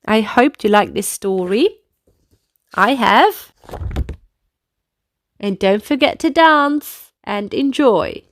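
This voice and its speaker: High, lively woman reading to children. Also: slight background noise. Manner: animated, deliberate, addressing children directly. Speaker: children's storybook reader. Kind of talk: expressive read-aloud narration with movement prompts for young children.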